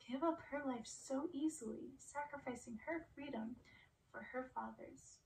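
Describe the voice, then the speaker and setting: A soft-spoken woman doing an ASMR read-aloud, often slipping into breathy whispers. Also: on the high side, slight background noise, rough recording. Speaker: ASMR performer reading a book aloud.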